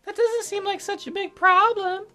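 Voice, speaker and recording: Man putting on a high-pitched, bitter teenage girl. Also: steady tone, normal speed, faint music behind, heavily acted. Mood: accusing. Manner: stammering when flustered, sharp and blunt. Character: frail fourteen-year-old girl patient, bitter and insecure.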